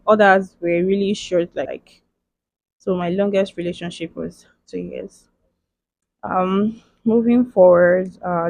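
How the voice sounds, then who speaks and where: A woman's voice: lowish voice, a little mellow, no room sound. A young woman, chatting playfully through a question-and-answer vlog, often tagging her lines with "okay". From Nigeria. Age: 20s. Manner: chatty, playful, emphatic, with frequent "okay" and "like" tags. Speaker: vlogger. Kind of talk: casual Q and A vlog.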